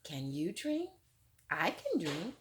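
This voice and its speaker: A woman's voice: fairly low pitch, huge pitch swings, slow pace, a little hiss or hum. A woman reading a picture book aloud to children, then talking it over with them like a teacher and asking them questions. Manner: warm teacherly, asks questions to young listeners. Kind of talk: children's read-aloud with discussion.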